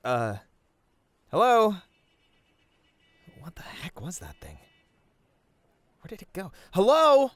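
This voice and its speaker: A man's voice: high voice, wildly swooping pitch, slow, long pauses, no room sound, pitched above the performer's own voice, full character voice. A man voicing a friendly, curious, awkward rabbit who runs a cafe, in an audio roleplay, often stammering. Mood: confused, calling out. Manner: hesitant, stammering when startled. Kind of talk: character acting in an audio roleplay.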